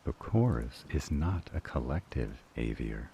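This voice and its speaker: A man playing a stern, pedantic male demon in an audio drama, calm, measured and formal. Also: very deep voice, slight background noise, full character voice. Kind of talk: dramatic audio roleplay acting.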